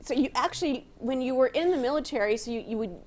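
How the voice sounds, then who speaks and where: A woman's voice: high voice, fast talker, a little mellow. A woman hosting a studio talk show, upbeat and chatty, laughing often as she asks rambling questions. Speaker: host. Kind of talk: casual studio chat. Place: radio and TV studio.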